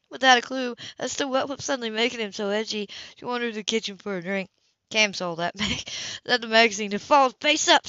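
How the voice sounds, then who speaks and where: A woman's voice: mid-range voice, a bit bright, no echo. Woman narrating on a dull, dry recording. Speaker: amateur audiobook reader. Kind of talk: scripted story narration.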